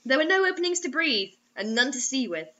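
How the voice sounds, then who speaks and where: A woman's voice: high-pitched, big pitch swings, brisk, bright, forceful tone. A young woman reading bad fiction aloud in a theatrical, overwrought, mocking way with asides. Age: young adult. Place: small indoor room. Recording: dull lo-fi webcam sound.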